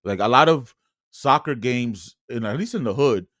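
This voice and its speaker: A man's voice: mid-range voice, lively intonation, normal speed, a bit bright, dry recording, clean studio sound. A man from New York City with a New York accent, co-hosting a casual sports podcast, slangy and jokey in the way he talks. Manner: slangy, jokey, peppered with "bro" and "yo". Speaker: podcast co-host.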